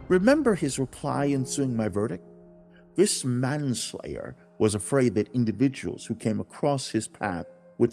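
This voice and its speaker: A man playing God in a dramatized audiobook, speaking directly to humankind in a grave, fatherly, deliberate way with emphatic enunciation. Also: lowish voice, creaky, warm tone. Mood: solemn, instructive.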